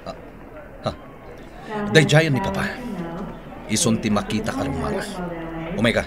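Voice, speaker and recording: A man's voice: medium pitch, sing-song, normal speed. A man playing an irritable, bossy gang boss in a radio drama, with an Ilocano accent. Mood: irritated, bossy. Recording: crowd noise behind voice. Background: crowd.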